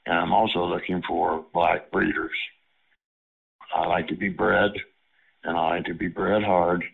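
A man speaks with a very deep, gravelly voice in a flat, unmoving tone. His sound is husky and heavy with fry. It comes through a thin, tinny phone line. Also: a little slow.

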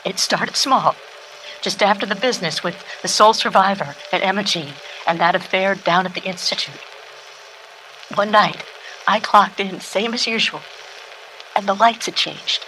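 An actress playing a lounge singer confiding intimately and dramatically in a detective, as a noir radio monologue. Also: female voice, medium pitch, normal speed, sharp tone, lightly acted, rough recording. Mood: uneasy, fearful. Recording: recorded tape message with background noise.

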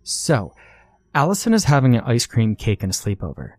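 A man voicing a chatty little girl who bursts into tears, in a high childish voice. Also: huge pitch swings, moderate pace, a little mellow, no echo. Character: whiny little girl, chatty then sobbing.

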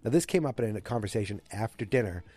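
A man's voice: on the low side, animated, quick pace, dark tone, slightly rough. A man with a cold, recapping a TV episode on his show in a rambling, self-correcting way. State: has a cold. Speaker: podcast host recapping a TV episode. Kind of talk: casual solo recap.

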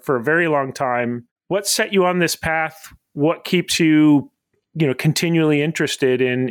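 A man hosting an interview podcast, speaking in measured, scholarly phrasing. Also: mid-range voice, fairly bright tone, smooth, no room sound, studio quality. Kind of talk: scripted introduction, then thoughtful interview questions.

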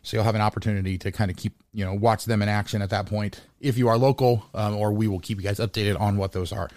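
A man's voice: on the low side, fairly even intonation, fast talker, darkish tone, dry recording, clean studio sound. A man hosting a sports podcast in casual talk, rambling, often repeating words and restarting his sentences.

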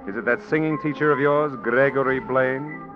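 A man's voice: mid-range voice, steady tone, normal speed, lightly acted. A man playing a bitter, needling junior business partner in 1940s radio drama style. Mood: sarcastic. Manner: sarcastic, needling. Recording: dull old radio broadcast with music.

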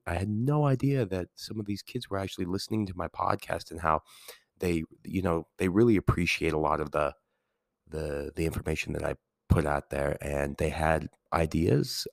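Very deep, gravelly-voiced man podcasting. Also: dry recording, studio quality. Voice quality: calming, soothing voice. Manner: rambling, many fillers and restarts. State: getting over a cold. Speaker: podcast host and teacher. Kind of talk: casual solo podcast talk.

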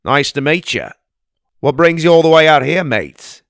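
A man's voice: on the high side, very animated, normal speed, vocal fry, fairly bright tone, dry recording, studio quality, pitched above the performer's own voice, heavily acted. Man doing a hearty Australian bushman. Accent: put-on Australian. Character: rugged Australian outback bushman, easygoing.

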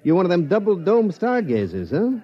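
An actor playing a breezy, fast-talking hustler in 1950s radio drama style, full of streetwise slang. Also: male voice, high voice, huge pitch swings, breathy edge, full character voice. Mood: breezy, pushy. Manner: streetwise slang, breezy wise-guy patter. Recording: dull old radio recording.

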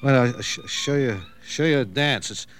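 An actor playing a broken, pleading down-and-out drunk in a radio drama, halting and rambling, repeating himself. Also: male voice, mid-range voice, expressive, a bit bright, soft background music, natural voice. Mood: wistful, rambling. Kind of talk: old-time radio drama acting.

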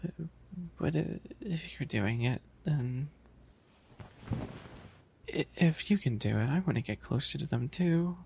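A man's voice: fairly high pitch, measured pace, vocal fry, long pauses, a little mellow, a little hiss or hum. A man voicing a bashful, fussy young animal-boy maid café server in an ASMR roleplay, stammering and pouty. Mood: flustered, pouty.

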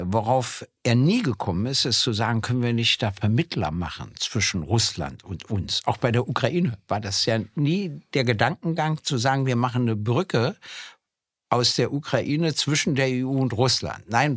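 An older man talks politics in a relaxed, conversational way, working through his points step by step with small hesitant fillers. His voice sits at an ordinary middle pitch. The sound is close and dry. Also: dry recording. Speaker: podcast co-host, politician. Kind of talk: political discussion.

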